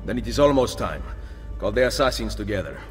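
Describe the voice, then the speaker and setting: Commanding italian assassin boss, bit deep, determined, video game cutscene, big echoey hall sound. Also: male voice, big pitch swings, fairly quick. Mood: solemn. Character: commanding, resolute Italian male assassin leader. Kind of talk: dramatic video game acting. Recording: cavernous long reverb, music behind.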